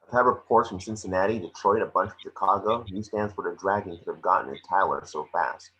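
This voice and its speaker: A man playing a gruff, weary, impatient FBI boss with a deep husky voice over a video call. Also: brisk, pushed and bright, dull audio, a little hiss or hum. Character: Jack Crawford, impatient, weary male FBI chief. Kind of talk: table-read acting.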